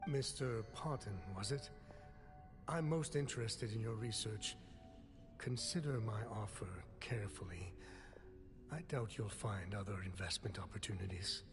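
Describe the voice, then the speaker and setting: A man playing a polite, businesslike investor with formal speech in a video game, recorded with long reverb. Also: on the low side. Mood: coolly superior. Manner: formal, measured courtesy. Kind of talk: game voice acting. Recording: long reverb under loud music.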